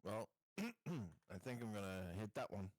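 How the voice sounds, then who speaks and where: A man chats through a tabletop game with a lively, swinging melody to his voice. He speaks in a halting, joking way, and the sound is dry and close. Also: lowish voice, dry recording. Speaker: player in a tabletop roleplaying game. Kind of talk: casual chat.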